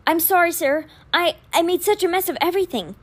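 A woman's voice: very high voice, brisk, pitched above the performer's own voice. A woman voicing an earnest, anxious teenage boy in an audiobook, stammering, pleading and full of guilt. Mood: distressed, rambling.

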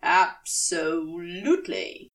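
A woman's voice: on the low side, fairly even intonation, extremely slow, vocal fry, a bit bright, far from the mic, voice pushed down, exaggerated voice. A woman voicing a drunk, patronizing man in a story, coaxing in a loose, slurred drawl. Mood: solemnly eager.

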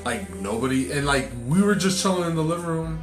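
A man's voice: a little high, very animated, fairly quick, reverberant, music in the background. A young man chatting casually as a podcast co-host, rambling with lots of fillers and swearing; his first language was Sicilian. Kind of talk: casual chat.

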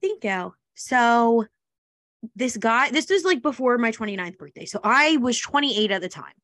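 A woman in her late twenties hosts a casual podcast, bubbly and quick to laugh. Her voice sits a little high. The sound is close and dry. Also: lively intonation, fairly bright tone, no room sound. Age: 20s. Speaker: podcast host. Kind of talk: casual chat.

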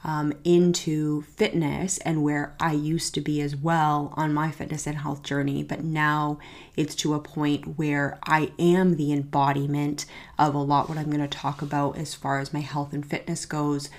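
Young woman host, low voice, stuffy nose, chatty and upbeat, keeps saying you guys. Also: level tone, a little slow. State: congested, nasal from a cold. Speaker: podcast host. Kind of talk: casual solo podcast talk.

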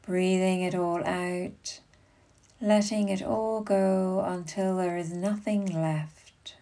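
A woman leading a guided meditation as a yoga nidra guide, giving soothing, unhurried instructions with long pauses. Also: fairly low pitch, fairly even intonation, warm tone.

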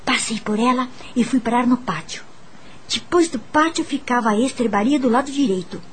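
Actress as curious young teacher lady, brazilian, high voice, dramatic radio storytelling, bit of noise behind. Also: normal speed, natural voice. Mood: measured storytelling. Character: curious, uneasy young live-in woman teacher. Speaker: lead actress and narrator. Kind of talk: radio drama narration and dialogue.

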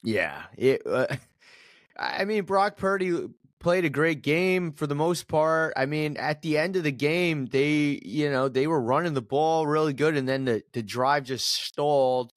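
A man's voice: on the high side, expressive, unhurried, dry recording, studio quality. A man hosting a podcast in casual sports chat, talking emphatically, repeating words and swearing casually. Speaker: podcast host.

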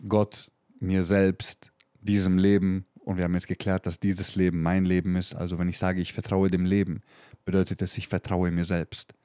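A man in his thirties speaks in a low register, close and dry, as he hosts his own motivational podcast. His talk is emphatic and full of swearing, with English slang dropped in among the German. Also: flat delivery, moderate pace, dark tone, smooth, dry recording. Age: 30s. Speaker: podcast host.

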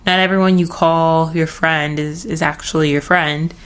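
Low-voiced young woman, rambling podcast chat. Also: steady tone, moderate pace, clean tone. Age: young adult. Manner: rambling, self-interrupting, giggly. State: losing her voice. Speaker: podcast host. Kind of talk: casual solo podcast chat. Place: at home, early morning.

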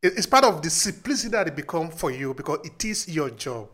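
Inquisitive male interviewer, higher voice. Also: expressive, fairly quick, a bit bright. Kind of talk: interview questions.

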